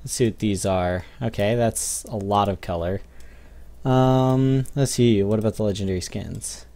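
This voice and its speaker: A man's voice: fairly low pitch, measured pace, warm tone. A young man hosting a gaming video with casual, off-the-cuff commentary.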